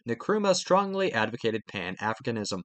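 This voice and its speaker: A man's voice: mid-range voice, very animated, normal speed, a bit bright, no echo. A man reading a history textbook aloud for students. He adds asides about the exam and sometimes stumbles and restarts a phrase. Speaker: textbook reader.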